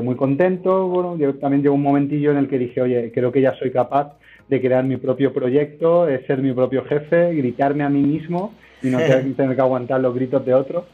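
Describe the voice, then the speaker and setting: A man, a guest entrepreneur answering interview questions in a loose, rambling way full of hesitations. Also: mid-range voice. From Spain. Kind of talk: conversational interview. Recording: narrow phone-line sound.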